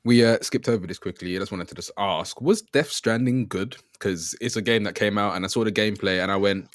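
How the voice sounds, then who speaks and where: A man co-hosting a gaming podcast, blunt and quick to laugh, dropping British slang. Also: fairly low pitch, no echo, studio quality. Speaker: podcast co-host. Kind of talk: casual chat.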